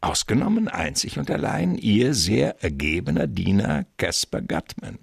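An actor playing a smug, scheming gentleman crook in a radio crime drama, with a gravelly, husky voice and a pompous, drawn-out, mockingly courteous manner. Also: lowish voice, big pitch swings, dry recording, clean studio sound, exaggerated voice. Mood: smug, amused.

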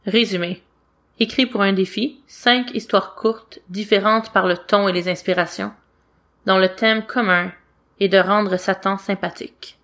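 A woman reads fiction aloud in a mid-range voice. Her delivery is that of an expressive storyteller, narrating for listeners. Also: mid-range voice, a little slow. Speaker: audiobook reader of fan fiction.

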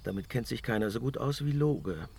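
An actor playing a proud, evasive, power-hungry god in a German fantasy radio drama, grandiose and often breathy. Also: male voice, medium pitch, animated, brisk, darkish tone. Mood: firm, confident. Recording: music behind the voice.

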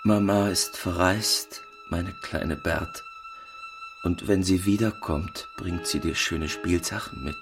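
An actor playing a grieving widowed father softly soothing his small child in a radio play. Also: sits low, fairly even intonation. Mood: tender, subdued. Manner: soft, slow, tender. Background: music.

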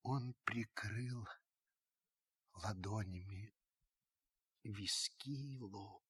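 An actor playing a solemn witness who narrates a radio drama, deliberate and intense with dramatic pauses and a husky voice. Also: male voice, fairly low pitch, a bit bright, exaggerated voice. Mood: subdued. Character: solemn male witness narrating with mounting tension.

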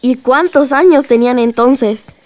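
Actress as young Mexican girl, very high voice, inquisitive, eager, candid, radio drama. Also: a little slow, a little mellow, dry recording. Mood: curious.

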